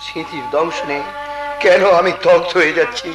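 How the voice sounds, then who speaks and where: A man's voice: fairly high pitch, fairly even intonation, moderate pace, natural voice. A man playing a tormented, jealous husband in Bengali folk-theatre melodrama, delivered in anguished declamation. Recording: echoey stage sound with music.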